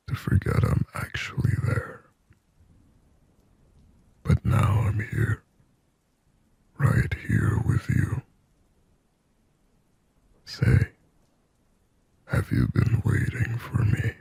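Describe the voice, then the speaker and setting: A man voicing a lonely, possessive sleep paralysis demon in a hushed, breathy, intimate ASMR roleplay, halting and close to the ear. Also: dry recording, exaggerated voice. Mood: eerie, intimate. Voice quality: breathy, husky whisper with low gravelly rasp. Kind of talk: scripted ASMR roleplay monologue. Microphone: close microphone, dry.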